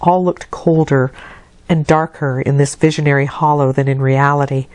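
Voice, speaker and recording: A woman reading a classic novel aloud as an audiobook narrator, in a measured, expressive literary delivery. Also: rumbling low, no echo. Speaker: audiobook reader.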